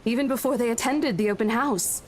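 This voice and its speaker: A woman playing a brisk, matter-of-fact real estate agent in a visual novel. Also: a little high, darkish tone, close to an everyday voice. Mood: businesslike. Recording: faint ambient noise behind.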